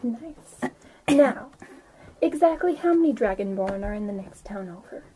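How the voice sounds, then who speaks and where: A woman narrating a casual tabletop game as game master, halting and informal as she goes. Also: fairly high pitch, expressive, warm tone, a little hiss or hum.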